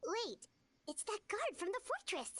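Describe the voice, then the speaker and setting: A tiny, very high girl's voice, lively and swooping as an earnest young nurse in a video game. She sounds sweet, bubbly and inquisitive, with faint noise in the recording. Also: a little slow, heavily acted. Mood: firm, alert. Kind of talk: English video game dub acting.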